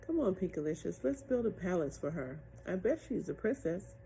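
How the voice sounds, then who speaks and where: A woman's voice: on the low side, very animated, moderate pace, gravelly, mellow, slight background noise, rough recording. A woman voicing a friendly, helpful young boy in a children's storybook.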